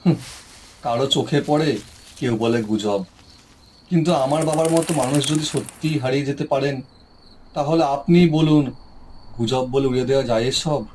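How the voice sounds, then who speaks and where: A man voicing a grieving village man who speaks softly and respectfully about his lost father. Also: medium pitch, normal speed, pauses often, a little hiss or hum, lightly acted. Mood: troubled. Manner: soft, heavy-hearted, respectful. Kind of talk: Bengali cartoon voice acting.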